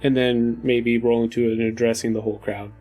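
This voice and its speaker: A man's voice: medium pitch, flat delivery, a little fast, mellow, soft background music. A man playing a tabletop game, chatting casually and tentatively as he thinks aloud.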